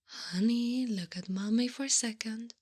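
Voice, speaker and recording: A woman playing a doting, teasing, bossy wife who mothers her husband, in a soft, often whispered ASMR roleplay with coaxing pet names and gentle scolding. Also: on the high side, unhurried, breathy edge. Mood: fond. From Italy.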